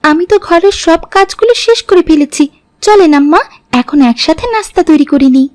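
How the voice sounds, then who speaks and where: A woman's voice: very high-pitched, fairly quick, darkish tone, no echo, clean studio sound. A woman playing a polite, pious young daughter in an Islamic cartoon, respectful and earnest.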